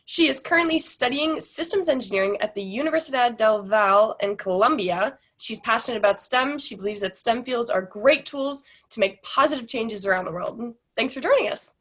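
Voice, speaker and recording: A woman's voice: on the high side, expressive, brisk, few pauses, a bit bright. A woman moderating an online panel over a video call, reading the guest introductions in an upbeat way.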